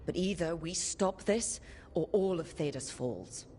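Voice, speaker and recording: A woman's voice: on the low side, lively intonation, a little slow, dark tone, a little hiss or hum. An actress playing a resolute, earnest young woman who leads a band of heroes in a fantasy game. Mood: determined. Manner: earnest, rallying, plain-spoken. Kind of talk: dramatic fantasy game voice acting.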